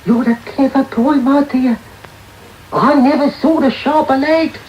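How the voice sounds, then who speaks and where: A man's voice: very high voice, steady tone, a little slow, dull audio. An actor in a radio drama playing a sly, wheedling old man who leads young pickpockets, with coaxing, theatrical delivery. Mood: fatherly, sly. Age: older. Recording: roomy, noisy medium-wave radio tape.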